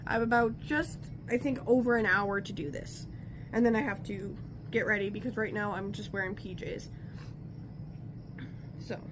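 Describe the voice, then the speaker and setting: A woman's voice: medium pitch, steady tone, moderate pace, pauses often, noisy background. Stuffy-nosed woman chatting while crafting. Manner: chatty, rambling, self-interrupting. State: stuffy nose from a cold. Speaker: crafting vlogger. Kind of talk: casual talk while crafting. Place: home crafting table.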